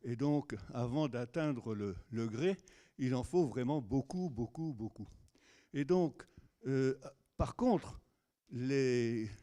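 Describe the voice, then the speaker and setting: A man moderating a conference, introducing the next speaker in hesitant, halting speech. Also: medium pitch, warm tone. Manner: hesitant, many fillers and restarts. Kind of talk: introducing a lecture.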